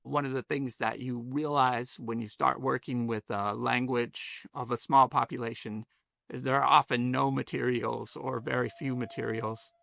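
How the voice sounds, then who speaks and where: A man answers interview questions in a thoughtful, loosely phrased way, with fillers here and there. His voice is gravelly and bright, and the recording is close and dry. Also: medium pitch, fairly even intonation, normal speed, no echo. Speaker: school curriculum developer.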